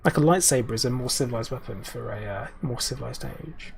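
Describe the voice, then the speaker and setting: A man's voice: mid-range voice, a little fast, gravelly, darkish tone. A man with a slightly scratchy voice, chatting through a live game stream, muttering asides and answering viewers by name at his desk mic. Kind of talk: live gameplay commentary.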